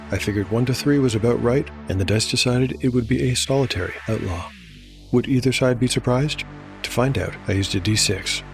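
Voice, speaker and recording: Lowish male fantasy storyteller over music. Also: creaky. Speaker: narrator and solo game master. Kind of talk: scripted narration of a fantasy tabletop game.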